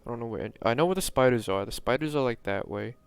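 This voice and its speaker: A man's voice: medium pitch, fast talker, vocal fry, smooth. A young man from New York giving casual, rambling commentary while streaming a game, self-mocking and drifting off-topic. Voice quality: monotone.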